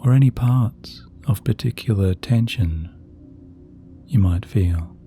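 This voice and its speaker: A man narrating a sleep meditation in a soft, gentle, soothing voice with slow, measured phrasing. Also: lowish voice. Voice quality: soft, very dark and mellow. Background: relaxing background music.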